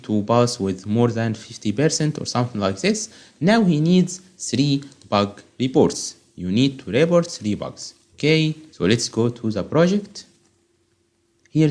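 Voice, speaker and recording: A man whose first language is Arabic, tutoring on software testing and walking through a quiz step by step, checking in often with "okay?". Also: mid-range voice. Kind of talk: screen-recorded tutorial walkthrough.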